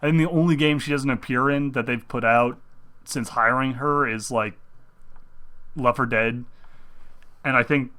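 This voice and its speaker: A young man talks in a loose, rambling way, often breaking off and restarting mid-thought. His voice is bright and forward, and it jumps up with excitement when an idea grabs him. He is hosting a casual chat about games. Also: medium pitch, unhurried, pauses often. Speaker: host.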